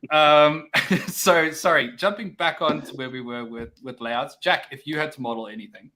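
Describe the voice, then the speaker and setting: A man's voice: fairly high pitch, moderate pace, pushed and bright. A man hosting a casual live-stream chat, laughing often and stumbling over repeated words. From South Africa. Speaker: podcast host.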